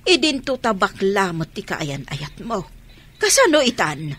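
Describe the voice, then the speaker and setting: A woman's voice: mid-range voice, big pitch swings, measured pace, a bit bright, breathy edge, full character voice. A woman with an Ilocano accent playing an exasperated but indulgent middle-aged mother in a radio drama.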